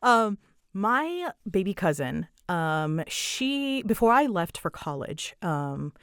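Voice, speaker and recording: A young adult woman chatting casually as she answers fan questions, with an animated way of retelling things. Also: mid-range voice, takes it slowly, smooth, no room sound, studio quality. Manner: chatty, animated retelling with quoted asides and giggles. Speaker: audio roleplay creator answering fan questions. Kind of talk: casual Q&A chat.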